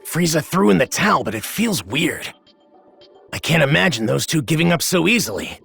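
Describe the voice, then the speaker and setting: Anime game hero: fiery, determined fighter, gritty bright voice with lively pitch swings. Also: male voice, moderate pace, gravelly, breathy edge, exaggerated voice. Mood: suspicious. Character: earnest, fiery young male martial-arts hero. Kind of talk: anime video game voice acting. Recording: music behind the voice.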